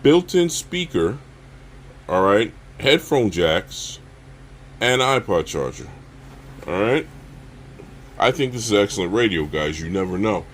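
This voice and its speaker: A man hosting a casual, unscripted gear review, talking straight to viewers and often checking in with a quick okay or all right. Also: lowish voice, sing-song, slight background noise. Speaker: survival channel host reviewing gear.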